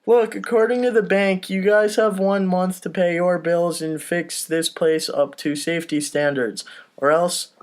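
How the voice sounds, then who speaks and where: A man playing a pushy bank official in a comedy script read, stiff and halting as if reciting from notes. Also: high voice, fairly even intonation, voice pushed up. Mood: officious, stern. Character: pushy male bank official threatening to foreclose.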